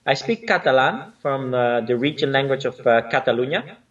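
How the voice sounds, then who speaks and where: A man from Spain, a native Spanish and Dutch speaker, chats in English as an interview guest. He hesitates often and restarts his sentences. His voice sits at a middle pitch and sounds set back from the mic on dull lo-fi video call audio. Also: animated, normal speed, far from the mic. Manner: hesitant, many fillers and restarts. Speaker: line dance instructor, guest. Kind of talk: casual interview chat.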